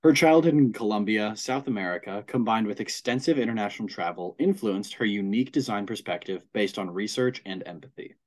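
A man's voice: lowish voice, fairly quick, hardly any pauses, darkish tone. A young man co-hosting a student podcast, reading intros and upbeat in his ad-libs. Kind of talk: scripted intro, casual chat.

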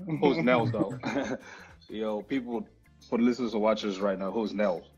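A man with a low, husky voice hosts a casual podcast. His talk is informal and slangy, with phrases repeated for emphasis. The recording sounds somewhat muffled. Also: steady tone, normal speed, a little mellow. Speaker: podcast host. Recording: muffled lo-fi audio, faint music.

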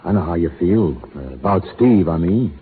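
An old radio western, narrow-band with faint noise. a rough, folksy American cowhand, loyal to his boss, whose pitch moves up and down a lot. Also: expressive, moderate pace. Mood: subdued, sorrowful. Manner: folksy cowboy talk. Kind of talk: 1930s radio western acting. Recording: tinny, noisy 1930s radio recording.

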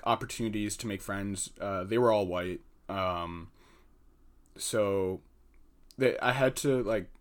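Young American male student guest, lowish voice, earnest and halting. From United States. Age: young adult. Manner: hesitant, pauses often with fillers, earnest. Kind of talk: podcast interview answer.

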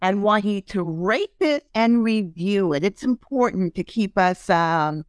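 A woman's voice: mid-range voice, expressive, a little slow, clear, resonant tone, no echo, clean studio sound. A woman hosting a podcast in casual interview chat, talking loosely with many ums and fillers. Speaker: podcast host.

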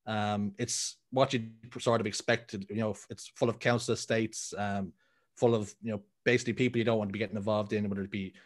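Man, 30s, Dublin Irish accent, lowish voice, casual interview guest, rambling, lots of ums. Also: little pitch movement, fairly quick. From Ireland. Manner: rambling, full of ums and restarts. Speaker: guest, content creator.

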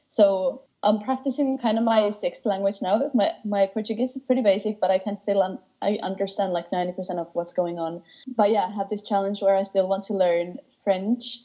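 A woman's voice: mid-range voice, mellow, muffled recording. A woman in her twenties from Finland, a guest in a casual interview, talking with enthusiasm, restarting her sentences often and laughing lightly. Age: 20s.

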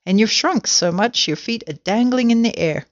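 A woman's voice: lowish voice, measured pace, lo-fi sound. A woman voicing a nasty, scheming husband who taunts with gleeful menace in a children's storybook. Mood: gloating alarm.

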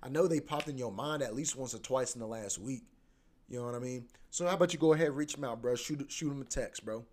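A man's voice: medium pitch, fast talker, a little mellow. Laid-back slangy man in his twenties. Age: 20s. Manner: laid-back, slangy, rambling with many fillers. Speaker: podcast host. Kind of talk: casual solo podcast monologue.

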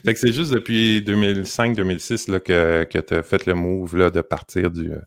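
A man's voice: deep voice, little pitch movement, normal speed. A man with a Québécois French accent hosting a podcast, asking rambling, filler-heavy questions. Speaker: podcast host. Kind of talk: casual podcast interview.

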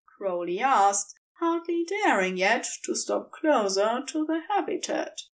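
Expressive, high-voiced woman narrator. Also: takes it slowly. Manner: expressive, dramatic storytelling. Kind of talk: scripted fiction narration.

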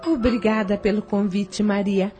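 A woman's voice: mid-range voice, fairly even intonation, unhurried, dark tone, faint music behind, lightly acted. An actress playing a subdued, withdrawn lady of a farmhouse in a radio soap, polite and listless. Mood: subdued, weary. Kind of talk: radio soap opera acting.

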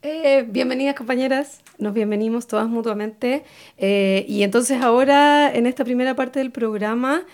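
Chilean woman doing radio, bit creaky, highish voice, feels her way through sentences. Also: normal speed, darkish tone. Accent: Chilean Spanish. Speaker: radio host. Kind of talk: informal radio talk, unscripted.

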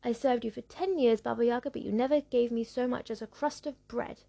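Woman doing a soft, aggrieved house cat. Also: a little high, fairly even intonation, fairly quick, gravelly. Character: complaining male house cat.

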